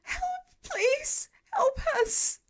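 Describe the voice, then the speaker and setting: A woman's voice: very high voice, lively intonation, extremely slow, pitched above the performer's own voice, exaggerated voice. A woman voicing a terrified young mother in an audiobook story, pleading with breathless, desperate cries.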